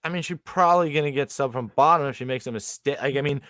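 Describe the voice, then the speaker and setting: A man co-hosting a podcast, breaking down fight betting lines in an animated, slangy way. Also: mid-range voice, fast talker, no echo, clean studio sound. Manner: animated, slangy, calls people dog. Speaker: podcast co-host, betting analyst. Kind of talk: casual sports betting chat.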